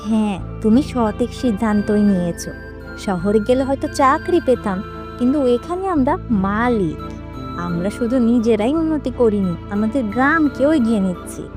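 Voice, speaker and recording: A woman's voice: on the high side, expressive, normal speed, music in the background, lightly acted. A woman playing an earnest, practical village wife in a moral-story cartoon, encouraging and hopeful. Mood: hopeful, approving.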